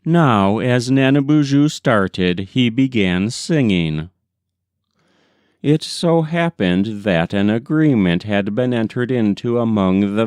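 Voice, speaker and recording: A man reading a folk tale as scripted audiobook narration, deliberate and expressive. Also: on the low side, clear, resonant tone, no echo, clean studio sound. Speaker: audiobook reader.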